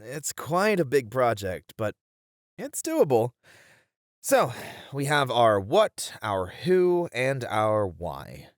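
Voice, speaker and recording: A man's voice: mid-range voice, wildly swooping pitch, takes it slowly, dry recording. A man playing a hesitant but intrigued game developer in a conversational ASMR roleplay, casually thinking aloud on a close mic. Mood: pondering, practical. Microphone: close studio mic.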